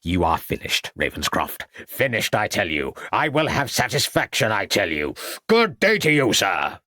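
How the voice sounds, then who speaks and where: A man's voice: sits low, big pitch swings, normal speed, no echo, clean studio sound, heavily acted. A man voicing an angry, blustering doctor in an audiobook, growling and indignant. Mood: threatening, indignant. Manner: growling, snarling, shouted outbursts. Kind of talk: audiobook character acting.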